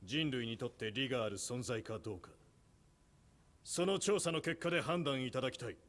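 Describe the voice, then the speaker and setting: An actor playing a composed male commander who speaks in a measured, formal, authoritative way. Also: medium pitch, expressive, fairly bright tone, lightly acted.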